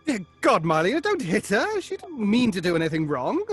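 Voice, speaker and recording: A man's voice: sits high, huge pitch swings, fairly quick, loud music behind the voice. An actor playing a flustered, kindly man in a theatre troupe, stammering and hesitant, in an audio horror drama. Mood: alarmed, protective.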